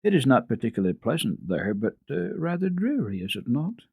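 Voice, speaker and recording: A kindly family doctor from a children's classic, voiced by a male narrator. He is calm, measured and reassuring, with gentle probing questions. The voice is husky and recorded very dry. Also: mid-range voice, dry recording, phone-quality sound, pitched above the performer's own voice, heavily acted.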